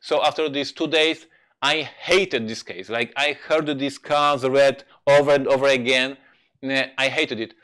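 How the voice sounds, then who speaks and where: A man who is not a native English speaker, a game designer telling anecdotes on a live stream in a halting, emphatic way. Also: medium pitch, steady tone, a bit bright. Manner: halting, emphatic storyteller with repeated words. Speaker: board game designer and presenter.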